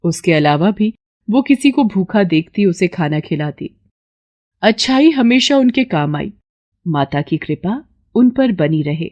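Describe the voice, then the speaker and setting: A woman's voice: on the low side, lively intonation, a little slow, a little mellow, muffled recording. A woman narrating a scripted moral story for children as a storyteller.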